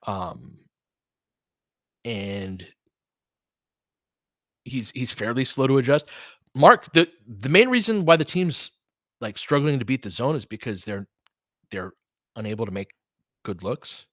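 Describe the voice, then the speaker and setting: A man's voice: medium pitch, very animated, slow pace, pauses often, no room sound, clean studio sound. An American man giving casual live basketball commentary, conversational and analytical, often in clipped phrases. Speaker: host and play-by-play commentator for a fan stream.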